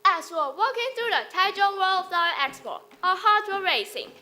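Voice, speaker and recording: A woman's voice: very high-pitched, animated, normal speed, sharp tone. A female performer reading scripted story narration in a readers theater style.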